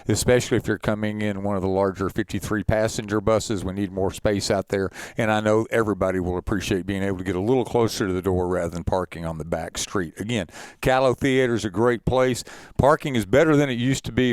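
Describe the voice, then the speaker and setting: Male organizer, lowish and clean-voiced, dry recording, courteous informational briefing for event directors. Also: fast, no room sound. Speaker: contest organizer co-presenting an information session.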